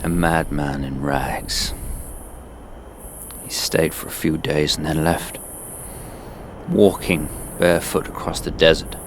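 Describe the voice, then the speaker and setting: A man's voice: on the low side, a little hiss or hum, lightly acted. A man acting as a world-weary crusade soldier, narrating a radio drama in the first person as a grave storyteller. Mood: sombre recollection.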